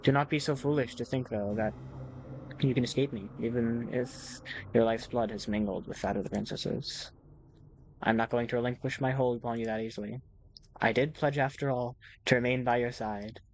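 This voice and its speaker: A man's voice: medium pitch, steady tone, normal speed, a little hiss or hum, lightly acted, poor audio. A man voicing a formal, devoted, possessive visual novel narrator, speaking straight to the player in a courtly way. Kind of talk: reading game text aloud.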